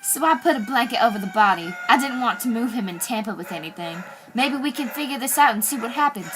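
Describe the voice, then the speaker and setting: A woman plays a haughty, self-satisfied housewife in an old-time radio drama. She has a notably high voice and a prim, theatrical delivery, with music clearly playing behind her. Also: expressive, quick pace, close to an everyday voice. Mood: stern, accusing. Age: teens. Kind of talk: 1940s radio drama acting.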